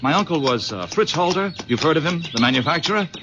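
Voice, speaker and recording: Radio drama: male actor as persuasive young nephew, very animated, muffled vintage sound. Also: fairly high pitch, fast talker, close to an everyday voice. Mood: confiding, animated. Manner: insistent, effusive, repeats himself. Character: eager, persuasive very young man, smooth-talking nephew. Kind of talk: 1970s radio drama acting. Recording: muffled, noisy old radio broadcast recording.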